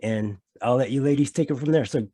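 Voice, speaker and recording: A man's voice: medium pitch, fairly quick, warm tone, dry recording. A man hosting a podcast interview, enthusiastic and encouraging, often restarting and repeating his phrases. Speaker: podcast host.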